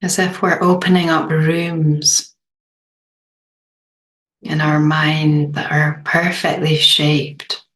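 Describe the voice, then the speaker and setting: Meditation guide, female. Extremely slow delivery, gentle, low register, little melodic movement. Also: little pitch movement. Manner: gentle, unhurried, long pauses.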